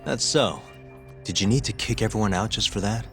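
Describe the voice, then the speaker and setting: A man's voice: fairly low pitch, a little fast, fairly bright tone, soft background music. A man playing a cool, persistent lawyer investigator in a video game cutscene, probing in a dry, understated way. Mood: probing, firm.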